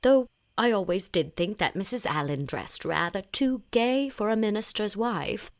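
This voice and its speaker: A woman playing a sighing, mournful, gently critical married village gossip in an audiobook. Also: mid-range voice, lively intonation, normal speed, close to an everyday voice. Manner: sighing, plaintive. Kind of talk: audiobook character acting.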